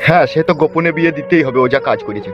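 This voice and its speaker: A dull recording with music behind carries a man playing a coarse middle-aged village father from a cartoon. He scolds very fast, full of shame and anger. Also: a little high, expressive, lightly acted. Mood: ashamed.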